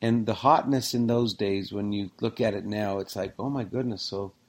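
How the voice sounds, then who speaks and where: A man's voice: lowish voice, steady tone, normal speed. A man co-hosting a casual sports podcast, rambling and joking, getting worked up over team records. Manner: rambling, jokey, gets worked up over stats. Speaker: podcast co-host.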